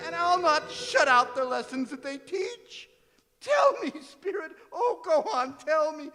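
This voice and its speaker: Very high-pitched, emotional old miser. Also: male voice. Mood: desperate pleading. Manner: theatrical, halting, pleading delivery. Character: repentant old miser, anguished, pleading, wildly emotional. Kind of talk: stage radio drama acting. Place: performing arts center stage. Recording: reverberant theatre hall, music underneath.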